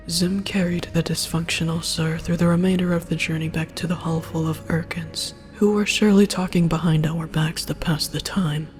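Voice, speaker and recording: A storyteller of ambiguous pitch reads scripted first-person fiction in a hushed, breathy way. The delivery is measured and careful, with music playing underneath. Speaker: narrator reading a fan story aloud.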